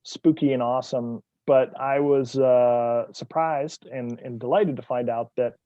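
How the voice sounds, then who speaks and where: A man's voice: mid-range voice, measured pace, dry recording, dull audio. A man from New England, a guest author, telling wry stories in casual conversation. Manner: relaxed, wry storyteller.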